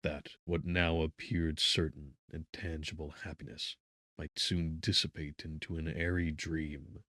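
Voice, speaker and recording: A man narrating a literary audiobook in a solemn, theatrical manner, with deliberate, emphatic phrasing. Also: deep voice, darkish tone, dry recording, studio quality.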